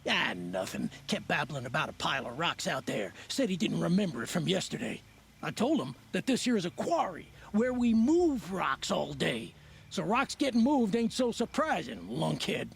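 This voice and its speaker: Gruff, world-weary male ex-slave quarry boss for a game; higher-pitched, gravelly delivery. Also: very animated, normal speed, exaggerated voice. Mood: dismissive. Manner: blunt, dismissive. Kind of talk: video game voice acting.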